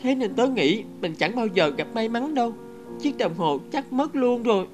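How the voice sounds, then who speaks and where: A woman voicing a superstitious young schoolboy in a cartoon, plaintive and expressive. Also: on the high side, moderate pace, close to an everyday voice. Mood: glum complaining. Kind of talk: scripted cartoon dialogue. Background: background music.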